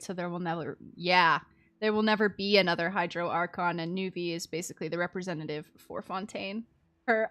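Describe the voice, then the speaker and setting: Giggly woman chatting on a game stream, normal pitch, no room echo, very casual. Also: fairly bright tone.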